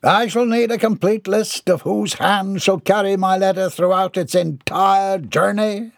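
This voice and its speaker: A man's voice: sits high, a little slow, creaky, no echo, clean studio sound, voice pushed up. A man voicing a pompous, indignant eccentric who declaims in grandiose, formal language in an audiobook. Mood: imperious.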